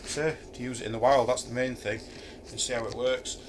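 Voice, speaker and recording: A man's voice: mid-range voice, steady tone, brisk, noisy background. A middle-aged man with a Yorkshire accent casually reviewing a camping chair in his kitchen, hesitating and thinking aloud with many ums. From Yorkshire, England. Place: home kitchen, indoors. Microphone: camera a few feet away.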